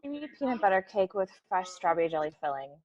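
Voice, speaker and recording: A woman's voice: medium pitch, very animated, fast, muffled recording, a crowd in the background, close to an everyday voice. A woman playing an earnest, caring and easily hurt young woman in a comedy table read. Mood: matter-of-fact. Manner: earnest, pleading.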